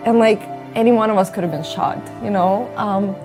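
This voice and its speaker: A woman from Azerbaijan whose first language is Russian, talking casually and earnestly as an interview guest, telling stories in an informal, expressive way. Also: mid-range voice, moderate pace. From Azerbaijan, former Soviet Union. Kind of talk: casual conversational storytelling. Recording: music behind the voice. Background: background music.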